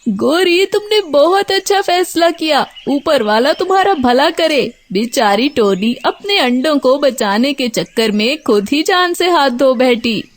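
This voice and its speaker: A woman voicing a kindly, calm husband crow in a children's cartoon, gentle and reassuring. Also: sits high, measured pace, hardly any pauses. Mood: sympathetic. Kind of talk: Hindi children's cartoon voice acting.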